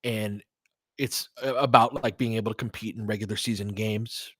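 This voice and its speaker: A man's voice: on the low side, fairly even intonation, moderate pace, a bit bright, dry recording, studio quality. A man giving casual live basketball commentary as a host, chatting informally with listeners and reacting like a fan.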